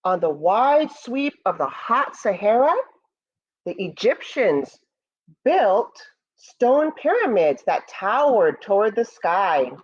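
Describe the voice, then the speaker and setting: A woman's voice: medium pitch, big pitch swings, slow, highs cut off. An American woman, a school educator, reading a picture book aloud to children expressively and speaking to them directly. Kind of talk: children's read-aloud with asides to pupils.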